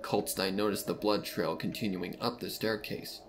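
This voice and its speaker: A man's voice: low voice, steady tone, normal speed, gravelly, heavy background noise. A man reading a story aloud as narrator, in a dramatic scripted narration style. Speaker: fan fiction narrator. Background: music.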